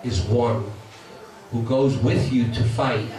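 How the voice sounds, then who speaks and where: A man's voice: medium pitch, slow, dark tone. A man from Brooklyn with a New York accent, giving a plainspoken speech as guest speaker at a meeting and reading scripture aloud. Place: meeting hall. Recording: echoey hall from far off. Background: audience murmur.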